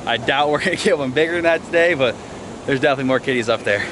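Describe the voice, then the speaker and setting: A young man in his twenties filming a fishing vlog outdoors at night, talking excitedly and out of breath in folksy slang. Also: medium pitch. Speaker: fishing vlogger. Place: riverbank by a dam at night, outdoors. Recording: outdoor recording with loud water noise. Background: rushing water from the dam.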